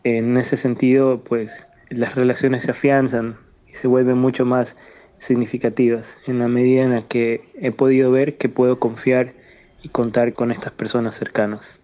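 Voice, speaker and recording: Flat, mellow-voiced man reflecting. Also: mid-range voice, moderate pace. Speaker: interviewee. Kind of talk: reflective testimony.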